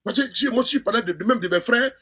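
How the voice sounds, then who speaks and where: Brief: high-pitched Ivorian man, fast husky delivery, fervent political talk with many restarts. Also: sharp tone. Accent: Ivorian French. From Côte d'Ivoire. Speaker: political commentator.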